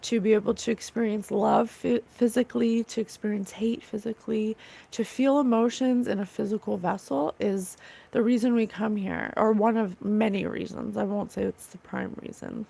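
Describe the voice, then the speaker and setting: Earnest woman with a gravelly voice. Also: mid-range voice, steady tone, moderate pace, dark tone. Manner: earnest, gushing about divine love. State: slightly rough, raw voice. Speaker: psychic medium livestream host. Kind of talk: casual livestream chat reading comments.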